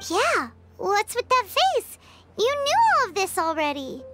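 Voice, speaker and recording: Tiny excitable girlish game sidekick: squeaky, extremely high, swooping delivery, music behind. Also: measured pace, heavily acted. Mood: curious. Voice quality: squeaky, childlike. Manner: exclamatory, refers to self in third person. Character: tiny excitable childlike female floating companion. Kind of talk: video game voice acting.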